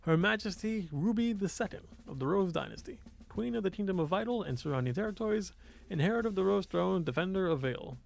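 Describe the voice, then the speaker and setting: Fantasy game herald played by a man, formal and deferential, pitched up for grand proclamations. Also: expressive, quick pace, vocal fry. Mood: grand announcing. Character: formal young male palace soldier, dutiful herald.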